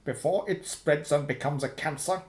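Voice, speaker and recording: An actor in a radio play voicing a menacing, sardonic boss of a shady firm, clipped and commanding. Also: male voice, on the high side, normal speed, reverberant, close to an everyday voice. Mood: cold menace.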